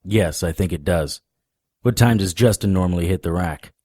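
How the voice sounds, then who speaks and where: An actor playing a wry, world-weary male paranormal investigator, narrating a first-person noir audio drama in a deadpan manner. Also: sits low, moderate pace, breathy edge, no echo, clean studio sound. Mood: wry, matter-of-fact.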